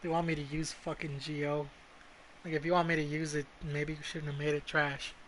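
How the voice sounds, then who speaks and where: A man's voice: a little high, little pitch movement, normal speed, fairly bright tone, slight background noise. A man doing casual gameplay commentary as a streamer, blunt and sweary, often muttering to himself. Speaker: video game streamer. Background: game music.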